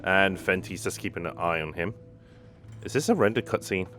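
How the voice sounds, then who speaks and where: A man's voice: low voice, moderate pace, a bit bright. A man giving casual running reactions as he streams a game. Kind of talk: casual gameplay commentary. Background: game music.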